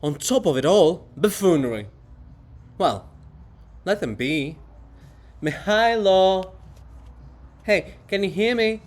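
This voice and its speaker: A man's voice: high-pitched, expressive, slow pace, pauses often. A man playing an indignant, haughty monument of a writer, declaiming and scolding in a theatrical reading. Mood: loud, indignant.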